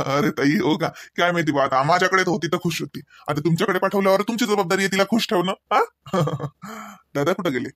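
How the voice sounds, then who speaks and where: A man's voice: high voice, normal speed, a bit bright, no room sound, clean studio sound. A man with a Vidarbha Marathi accent playing a needling young husband in a comedy cartoon, chatty and mock-reproachful. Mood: casual, questioning. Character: teasing, needling young husband at his in-laws.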